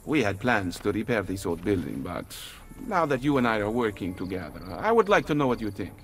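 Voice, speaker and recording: Sly, animated Italian master thief. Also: male voice, lowish voice, fairly quick, faint music behind. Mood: businesslike. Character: shrewd Italian master thief, guild leader. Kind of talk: video game cutscene acting.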